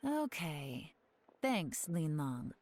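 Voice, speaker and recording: A woman's voice: low voice, sing-song, extremely slow, darkish tone, exaggerated voice. A woman playing a cool, shrewd investigator in a video game, composed and in command. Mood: casually probing. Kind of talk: video game character acting. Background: music.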